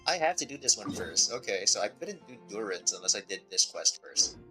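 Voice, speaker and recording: A man giving casual live game commentary as a streamer, reading text aloud and thinking out loud. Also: fairly low pitch, very animated, fairly quick, music in the background, low-quality recording. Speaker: streamer, vtuber.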